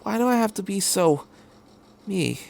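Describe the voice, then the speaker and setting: A man reading fan fiction aloud as an anxious, self-loathing fourteen-year-old boy pilot, halting and pleading. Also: high voice, animated, creaky, pitched above the performer's own voice, exaggerated voice. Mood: anguished, despairing.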